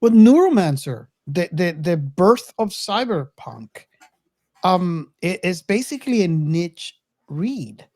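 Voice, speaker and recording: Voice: mid-range voice, sing-song, slow pace, a little mellow. A middle-aged podcast co-host of unclear sex, chatting casually about films and giving opinions in a conversational way. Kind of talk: casual film discussion.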